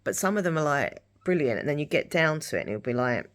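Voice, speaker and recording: A middle-aged woman chatting casually in a rambling vlog style, her voice husky and dry-throated from hay fever. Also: low-pitched, lively intonation, fast. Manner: rambling, repeats herself. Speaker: lifestyle vlogger. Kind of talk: casual rambling chat to camera.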